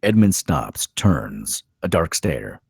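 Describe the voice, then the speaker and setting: A man's voice: very deep voice, sing-song, slow pace, creaky, breathy edge, dry recording, studio quality. A man reading scripted stage directions as the narrator of a screenplay table read.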